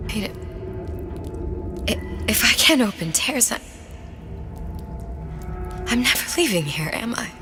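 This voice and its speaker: A woman's voice: mid-range voice, creaky. Casting: bookish young woman for a video game drama, troubled, stripped of her powers; music under the voice. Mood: shaken, despairing. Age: young adult. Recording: music behind the voice.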